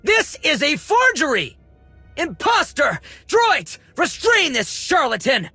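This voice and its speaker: A man's voice: very high voice, wildly swooping pitch, takes it slowly, bright, forceful tone, dry recording, faint music behind, full character voice. A man playing a fussy, pompous and nervous collector of rare droids in a theatrical video game style. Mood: outraged. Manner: pompous, theatrical, flustered under pressure. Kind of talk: video game cutscene acting.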